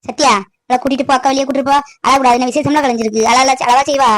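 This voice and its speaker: A woman's voice: sits high, steady tone, racing, no echo, lightly acted. Woman acting a protective, insistent middle-aged aunt. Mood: consoling, fussing. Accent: southern Tamil Nadu. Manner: chatty, insistent, colloquial. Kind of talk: acted family drama.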